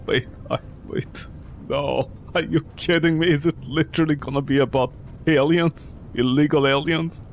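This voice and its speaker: A man's voice: on the high side, fairly even intonation, moderate pace, a little hiss or hum. A man giving joking let's play commentary as a gaming streamer, sarcastic and incredulous.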